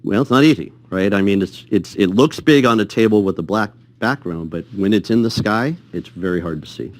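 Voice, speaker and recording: Male technical explainer, very deep voice, halting repeats.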